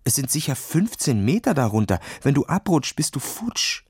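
A man's voice: medium pitch, sing-song, moderate pace, husky, no echo, studio quality, exaggerated voice. A man voicing an anxious, cautious schoolboy who pleads urgently in a children's audio story. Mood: worried warning.

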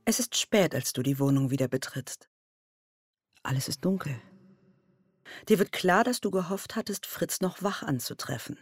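An actress playing a mocking, goading inner voice of fear in a radio drama, husky and teasing. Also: female voice, lowish voice, lively intonation, normal speed, pauses often, no echo, studio quality, soft background music. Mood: knowing, needling. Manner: teasing, swooping delivery.

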